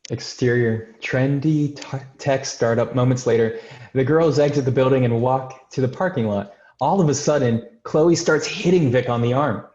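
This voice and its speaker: A man's voice: mid-range voice, moderate pace, a little mellow. A man narrating a screenplay's stage directions in a scripted table-read style. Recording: roomy, dull remote call audio.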